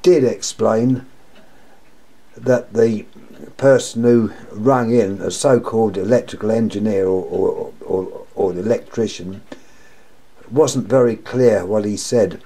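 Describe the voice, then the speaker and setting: An older man with a sore, croaky throat rambles through a casual show-and-tell of his lamps, doubling back on his own words. His voice is dark and mellow. He talks at home, into a camera mic, while he stays off screen. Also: on the low side, steady tone, takes it slowly. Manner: rambling, repeats himself, wry complaints. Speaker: lamp collector vlogger. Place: indoors at home, table of lamps.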